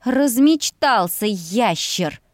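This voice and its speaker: A woman voicing a proud, fiery young heroine, sharp, scolding and defiant, in an audiobook story. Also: sits high, expressive, a little slow, dry recording, studio quality, pitched above the performer's own voice. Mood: angry, defiant.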